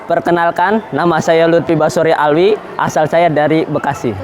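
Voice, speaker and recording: A man's voice: fairly high pitch, fairly even intonation, moderate pace, some chatter behind, a little hiss or hum. A young man giving a plain, earnest testimony about his religious school. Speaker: madrasah student. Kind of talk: testimonial to camera.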